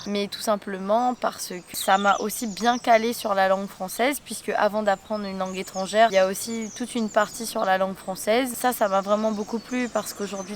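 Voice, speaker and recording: A woman in her thirties from Mauritius, talking through an interview in a relaxed, halting way and often pausing on fillers. She is recorded outdoors, and faint birdsong and rural noise can be heard behind her. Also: medium pitch. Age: 30s. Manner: hesitant, many fillers and restarts. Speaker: interview guest, entrepreneur. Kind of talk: casual interview answers.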